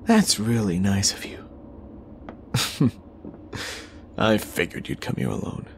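Man playing a slow-talking, cocky flirtatious supervillain. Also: lowish voice, very animated, vocal fry, slight background noise, exaggerated voice. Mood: smug, flirtatious. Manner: teasing, smug, chuckling banter. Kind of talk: ASMR roleplay acting.

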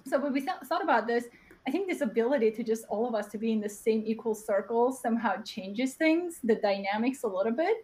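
A young woman with a high voice, answering as a panelist on a video call, earnest and thinking aloud, often tagging her points with "right?". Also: lively intonation, fairly quick, darkish tone. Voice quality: high-pitched voice. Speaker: panelist, platform co-founder. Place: home room. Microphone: webcam on video call.

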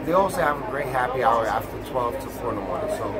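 A man's voice: medium pitch, lively intonation, fast. A man in his thirties reviewing a burger in a casual vlog, chatty and exclaiming, laughing often, filmed on a phone with an earbud mic. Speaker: food vlogger. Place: busy bar and restaurant, indoors. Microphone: earbud mic, phone held close. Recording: noisy crowded bar with music. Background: loud music and crowd chatter.

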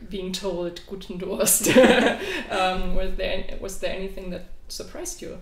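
A woman interviewer asking questions in an unhurried, friendly way. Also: mid-range voice, distant mic, a crowd in the background. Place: small colorful lounge indoors. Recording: echoey room, mic set apart.

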